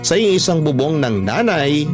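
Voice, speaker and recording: A man's voice: fairly high pitch, big pitch swings, unhurried. A man with a Filipino accent reading a first-person story as a radio storyteller. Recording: music under the voice. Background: background music.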